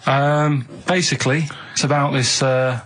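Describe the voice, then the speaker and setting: A man with an English accent rambles through anecdotes as a radio regular. His delivery is halting, earnest and matter-of-fact, with a faint noise behind him. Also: medium pitch, steady tone, fairly bright tone. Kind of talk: rambling casual anecdote telling.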